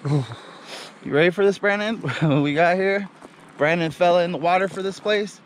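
A man vlogging as he explores outdoors by a mountain river, talking casually and breaking into excited exclamations. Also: high voice, a little slow, slight background noise. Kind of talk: casual on-camera exploring chat.

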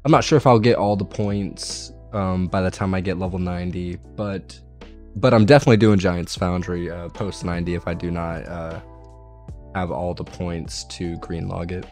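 A young man with a raspy, hoarse voice from being ill, chatting casually over his gameplay and swearing now and then. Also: deep voice, fairly even intonation, moderate pace, a little mellow, faint music behind. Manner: laid-back, swears casually. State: hoarse from illness. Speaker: gaming video creator.

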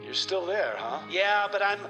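Man doing a goofy male time traveler, high-pitched, phone skit, comedy radio, light music bed. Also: expressive, moderate pace, bright, forceful tone. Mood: chatty. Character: goofy time traveler phoning his own clone.

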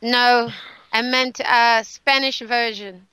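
A woman evangelist co-hosting a radio show, speaking gently and playfully. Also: on the high side, steady tone, slow pace, smooth, no echo, poor audio. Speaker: evangelist co-host.

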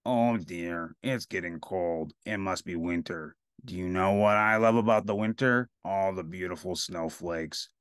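A gentle, slightly fretful storybook character, put on by a reader. The voice sits low and gives measured, step-by-step instructions in a dry, close recording. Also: clean tone, no echo. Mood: mildly fretful, fond.

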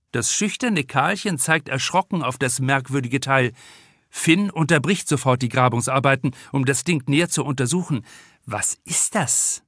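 A man's voice: medium pitch, a bit bright, no echo. Man narrating a novel, dry close sound. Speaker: audiobook narrator, author reading own novel. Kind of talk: scripted narration of a crime novel. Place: dry studio.

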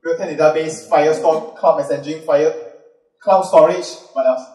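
A man's voice: on the high side, fairly even intonation, warm tone. Upbeat Malaysian man in a big hall. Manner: upbeat, asks the audience quick questions. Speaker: conference speaker. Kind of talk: tech conference talk. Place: large dark auditorium with a stage. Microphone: handheld mic. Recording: roomy hall sound from a distant camera.

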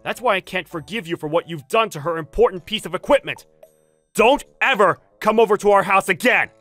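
A man voicing a loyal, hot-tempered young husband, earnest and forceful, in a dramatic manga dub. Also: high-pitched, fairly quick, no room sound, soft background music. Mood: stern.

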